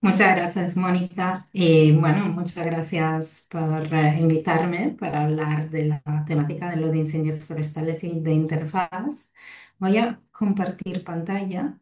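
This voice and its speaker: A woman's voice: sits low, steady tone, a little slow, a little mellow. A woman in her thirties from Italy, speaking with an Italian accent and giving a webinar lecture with frequent fillers and restarts. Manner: hesitant, many fillers and restarts. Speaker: lecturer, fire safety researcher. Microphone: video call. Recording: roomy echo, distant from mic.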